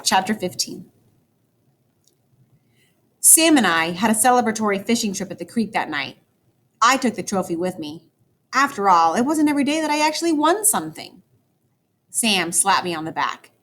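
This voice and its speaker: A woman teacher reading a children's story aloud, with expressive, dramatic emphasis for young listeners. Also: mid-range voice, moderate pace, long pauses. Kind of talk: animated children's book read-aloud.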